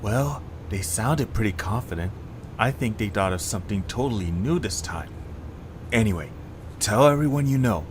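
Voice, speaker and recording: A man's voice: on the low side, expressive, moderate pace, a little hiss or hum, lightly acted. A man voicing an eager young cartoon creature with an urgent, conspiratorial manner, in an audio story. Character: eager young male cartoon creature passing on secret plans.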